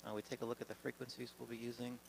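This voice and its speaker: A man giving an informal, halting lesson as he demonstrates pilot procedures, speaking in an improvised way. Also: mid-range voice, flat delivery, creaky, poor audio. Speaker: pilot demonstrating radio practice. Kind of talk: informal instructional talk. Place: garage. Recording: faint background noise.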